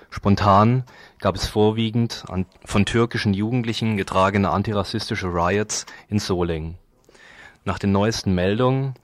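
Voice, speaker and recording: Male radio news presenter, lowish voice, really dry close sound. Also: no echo.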